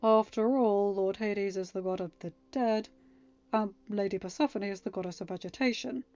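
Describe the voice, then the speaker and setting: A woman's voice: medium pitch, steady tone, moderate pace, a little mellow, highs cut off, lightly acted. A woman voicing a kind but firm young king in a storybook, with a courteous, decisive and formal manner. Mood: musing.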